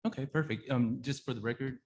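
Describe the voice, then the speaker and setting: A man's voice: medium pitch, fairly quick, a little hiss or hum. A man serving as council member, asking a question in a friendly, casual way at a town meeting.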